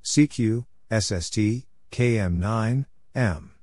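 A man with a fairly low voice announces code practice very slowly. He reads out letters, numbers and short phrases in a clipped, impersonal way, with a very dry, close sound. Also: darkish tone, no echo. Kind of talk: reading out call signs and short phrases, letter by letter.

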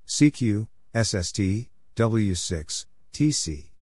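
A man reading out short scripted phrases as a practice narrator, deliberately spelling things letter by letter. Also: fairly low pitch, animated, darkish tone, husky, dry recording, studio quality. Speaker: Morse code practice narrator.

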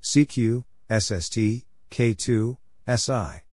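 A man reading out call signs and short radio exchanges for code practice in clipped, deliberate, separated phrases. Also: fairly low pitch, a little mellow, slight background noise. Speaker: Morse code practice narrator.

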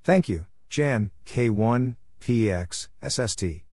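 A man narrating a practice lesson, reading call signs and short phrases in a clipped, deliberate way. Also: mid-range voice, expressive, a little mellow, breathy edge, no echo, studio quality. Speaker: Morse code practice narrator.

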